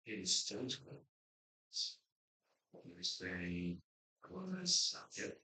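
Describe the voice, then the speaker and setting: A man's voice: deep voice, big pitch swings, drawn-out words, pushed and bright, slight background noise, rough recording. A man from Connecticut moderating a student quiz competition, chatting loosely with fillers and then reading questions out steadily.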